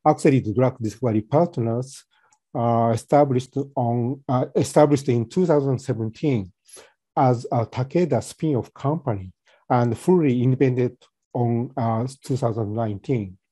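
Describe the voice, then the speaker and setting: Halting soft-spoken man presenting. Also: mid-range voice, slightly rough, no echo. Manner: halting, many fillers and restarts. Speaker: company presenter. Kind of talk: webinar presentation.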